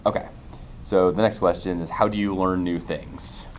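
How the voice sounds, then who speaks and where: A man hosting a conference interview series, asking relaxed questions and giving frequent affirming responses. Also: low voice, moderate pace, slight background noise.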